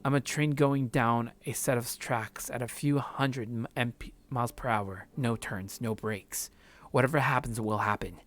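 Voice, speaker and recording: A man's voice: medium pitch, fairly even intonation, moderate pace, fairly bright tone, soft background music, natural voice. A man reading first-person visual novel narration as a numb, heartbroken man, subdued and brooding.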